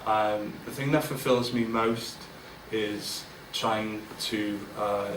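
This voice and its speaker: A man's voice: fairly low pitch, steady tone, takes it slowly, background noise. Roomy, distant man giving a candid speech. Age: 40s. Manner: candid and self-deprecating, with many fillers and restarts. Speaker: guest speaker. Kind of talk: informal business speech. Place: large event room with an audience. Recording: roomy, distant mic with faint ambience.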